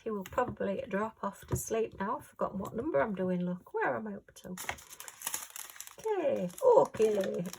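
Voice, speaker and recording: A woman chatting casually at home while she works on a craft, rambling and homely with lots of fillers, her voice a little hoarse. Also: medium pitch, big pitch swings, unhurried, long pauses, darkish tone, a little hiss or hum, poor audio. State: slightly hoarse. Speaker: crafting vlogger.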